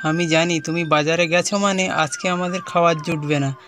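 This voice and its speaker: A man's voice: a little high, level tone, normal speed, noisy background, close to an everyday voice. A man voicing a teasing, exasperated but caring husband in a cartoon story. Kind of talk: amateur cartoon story voice acting.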